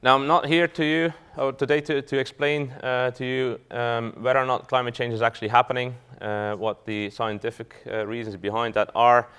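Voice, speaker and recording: A man's voice: mid-range voice, little pitch movement, moderate pace, few pauses, a bit bright, smooth. A man from Belgium, a fund manager giving a business talk to an audience, hesitant with frequent fillers and restarts.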